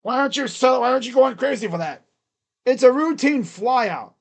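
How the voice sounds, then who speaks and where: A live-stream host giving casual play-by-play of a baseball game in a loud, booming voice, chatting between pitches. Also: animated, moderate pace.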